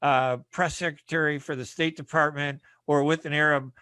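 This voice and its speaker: Male radio host from the US, bright, forceful tone, dry close sound. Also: a little high, fairly even intonation, normal speed, clean tone, no echo. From United States. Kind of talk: conversational radio interview.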